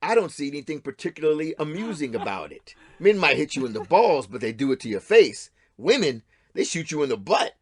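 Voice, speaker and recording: A middle-aged estranged husband and father in an audio drama, swaggering and crude. His voice sits a little high for a man, and he needles and goads with macho menace. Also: very animated, a little fast, few pauses, breathy edge. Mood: wry, defensive. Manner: swaggering, goading, macho talk. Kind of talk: audio play acting.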